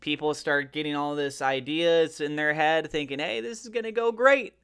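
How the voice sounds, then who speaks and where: Higher-pitched man giving casual market commentary. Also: normal speed, fairly bright tone, clean tone. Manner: emphatic, rhetorical questioning, colloquial. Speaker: finance and crypto video host.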